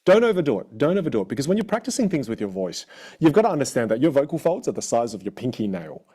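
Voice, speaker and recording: A man with an Australian accent coaching a live online audience in an energetic, encouraging way. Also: mid-range voice, quick pace, slightly rough. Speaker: communication coach.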